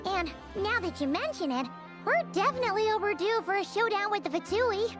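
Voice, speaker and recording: A woman's voice: very high voice, lively intonation, brisk, music in the background. A woman voicing a tiny, childlike fairy companion in a video game, cute, perky and chatty. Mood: pondering. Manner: perky, chatty, refers to self in third person. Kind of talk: animated video game voice acting.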